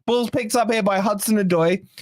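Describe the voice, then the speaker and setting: A man hosting a fan channel's live watch-along, hoarse from shouting, giving excited, partisan play-by-play with swearing. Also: high voice, normal speed. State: hoarse, losing his voice. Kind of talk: excited fan match commentary.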